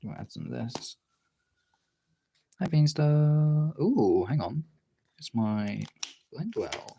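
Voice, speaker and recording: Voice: mid-range voice, very animated, slow, background noise. A streamer chatting casually while drawing, rambling and thinking aloud in a self-deprecating way.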